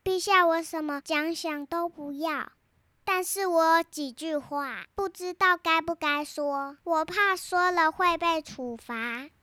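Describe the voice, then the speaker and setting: A woman's voice: extremely high pitch, unhurried, pushed and bright, no room sound, studio quality, full character voice. A young girl playing an earnest, outspoken storybook heroine, reciting her lines carefully. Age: child.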